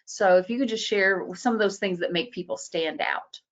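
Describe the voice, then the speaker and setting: A woman's voice: mid-range voice, normal speed, a bit bright, lo-fi sound. A woman moderating a webinar panel who asks long questions and fills them with "you know" and "uh". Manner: many fillers, you know and uh.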